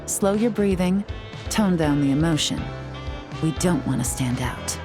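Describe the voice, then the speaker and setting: A woman playing a composed, sly secret operative in a video game, smooth and assured. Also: low voice, expressive, a little slow, over music. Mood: calm, wry.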